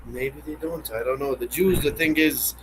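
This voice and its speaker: A man in a casual talk who speaks quickly, breaks off mid-word and hunts for the right phrase. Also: on the high side, background noise. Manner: fast, gropes for words, trails off. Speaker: conversation partner. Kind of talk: casual conversation about religion.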